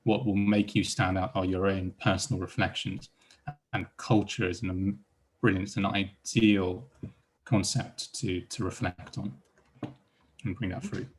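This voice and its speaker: A man's voice: low-pitched, fairly even intonation, unhurried, breathy edge. A man from Iran speaking on a panel as a psychologist, hesitant and full of repeated words and restarts. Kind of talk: panel discussion.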